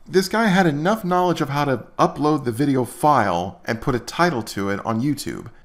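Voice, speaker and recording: Sarcastic, animated male commentary host. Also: fairly high pitch. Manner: sarcastic, exasperated ranting. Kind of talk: comedic commentary skit.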